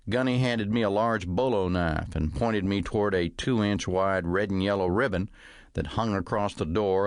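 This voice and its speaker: A man reading a first-person comic novel as audiobook narration, in a measured, dryly humorous storytelling manner. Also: on the low side, no room sound, studio quality.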